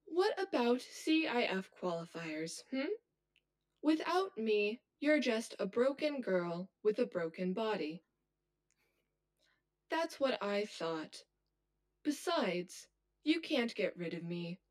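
A woman's voice: mid-range voice, sing-song, slow pace. An actress playing a cold female inner double in an audio drama, precise, clinical and condescending. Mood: smug. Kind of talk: scripted audio drama acting.

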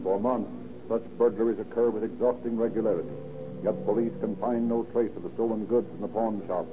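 An actor as the formal, dramatic narrator of a 1930s radio crime story, on a dull old recording. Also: male voice, lowish voice, fairly even intonation, a little fast, lightly acted. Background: loud music.

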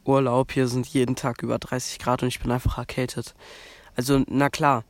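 A man's voice: mid-range voice, flat delivery, a little fast, no echo. A young man from Germany with a cold, hosting a podcast in a rambling casual monologue, full of fillers and restarts. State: has a cold. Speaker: podcast host.

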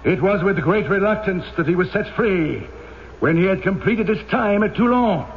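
A man playing a cold, stern French police inspector in an old-time radio drama, speaking formally and with deliberate emphasis. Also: high-pitched, lively intonation, a little hiss or hum. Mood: cold, accusing. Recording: muffled band-limited radio drama recording with music.

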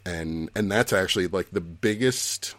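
A man's voice: low-pitched, unhurried, fairly bright tone, dry recording. A man in his forties co-hosting a podcast, chatting casually, laughing often and restarting his sentences. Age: 40s. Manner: laughs often, thinks aloud with many restarts. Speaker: podcast co-host. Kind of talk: casual chat.

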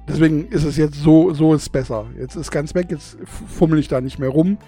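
A man's voice: a little high, moderate pace, mellow, soft background music. A young man hosting his own podcast in casual chat. He lisps through a gap in his teeth, laughs often and mocks himself. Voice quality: lisp from a tooth gap. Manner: chatty, laughs often, self-mocking. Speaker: podcast host. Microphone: studio microphone.

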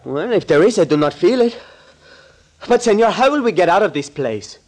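A man's voice: high-pitched, big pitch swings, moderate pace, darkish tone. A man playing an anxious, polite young helper with a Spanish accent in a 1940s radio drama, speaking hesitantly and formally. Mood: worried. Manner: hesitant, formal, uncontracted speech.

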